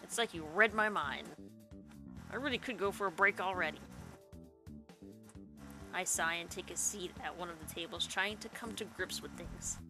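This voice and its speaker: A woman's voice: lowish voice, moderate pace, long pauses. A woman gaming host reading visual novel narration aloud with dramatic first-person storytelling over game music.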